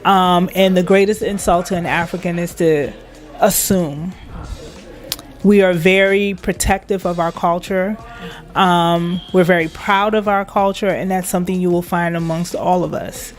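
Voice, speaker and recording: A woman's voice: on the low side, steady tone, a little slow. A woman from Liberia, a guest in an interview, speaking with pride and emphasis and laughing often. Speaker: guest, internet radio station manager. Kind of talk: casual interview chat.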